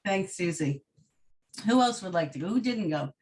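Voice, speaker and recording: A woman's voice: on the low side, animated, normal speed, darkish tone. Encouraging woman moderating a call. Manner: friendly, encouraging. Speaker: group moderator. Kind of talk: casual group video call. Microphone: video call.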